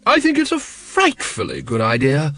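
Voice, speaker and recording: A man's voice: sits high, wildly swooping pitch, measured pace, soft background music, voice pushed up, heavily acted. A man voicing a hearty, breezy lady in an audiobook story. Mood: enthusiastic. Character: hearty upper-class middle-aged lady, breezy and unflappable.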